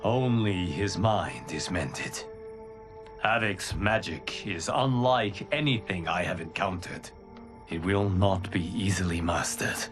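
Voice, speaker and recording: A stoic video game warrior, grave and guarded. His deep voice moves slowly and formally over clear background music. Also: animated. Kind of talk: video game cutscene acting. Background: cinematic music.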